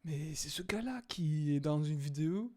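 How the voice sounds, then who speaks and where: A young man casually reacting to videos, hesitant with many fillers, sometimes bursting into loud exclaiming. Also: a little high, animated, moderate pace. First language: not English.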